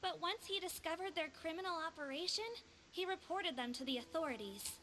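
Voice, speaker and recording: Very high, sweet little nurse. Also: female voice, a little fast, bright, forceful tone, a little hiss or hum. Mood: explaining. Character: small earnest female head nurse, sweet and sprightly. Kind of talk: video game voice acting. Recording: game music behind the voice.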